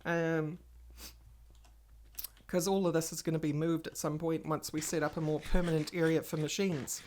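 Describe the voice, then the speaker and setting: A woman's voice: sits low, level tone, normal speed, a little mellow. A woman hosting a casual game playthrough, chatting and rambling to viewers while she plays, a little stuffy from a cold. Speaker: gaming channel host. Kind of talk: casual Let's Play commentary.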